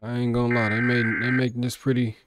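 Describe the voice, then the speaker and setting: A man's voice: mid-range voice, flat delivery, fast, very noisy. A man reacting casually to a video, talking to viewers in loose, profane slang.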